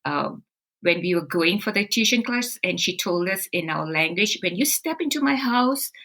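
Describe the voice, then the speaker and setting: A woman's voice: mid-range voice, expressive, fairly bright tone. Woman with a Singaporean-Malayali accent. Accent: mix of Singaporean English, Malay, Malayalam and Tamil. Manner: slow, thoughtful, with many fillers. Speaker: guest. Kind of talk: casual interview storytelling.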